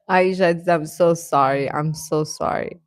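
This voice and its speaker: A woman's voice: deep voice, no room sound, clean studio sound. A woman hosting a livestream, chatting casually and playfully with her viewers. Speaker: livestream host. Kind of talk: casual chat with live viewers.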